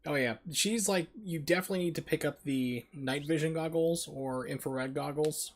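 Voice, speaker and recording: A man's voice: on the high side, normal speed. A man streaming a video game with casual, chatty live commentary, explaining mechanics in asides.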